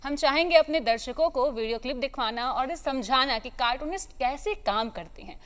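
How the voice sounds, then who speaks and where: Female TV host, higher voice, formal and polite studio presenting. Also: a little fast, fairly bright tone. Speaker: television show host. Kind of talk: morning show presenting.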